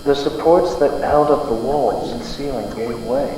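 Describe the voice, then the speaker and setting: A man's voice: mid-range voice, a little slow, close to an everyday voice. Young man as earnest space hero, very echoey. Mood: solemn recounting. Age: young adult. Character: earnest heroic male space adventurer, loyal and polite. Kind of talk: old-time radio serial acting. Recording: very echoey hall, distant, faint hum.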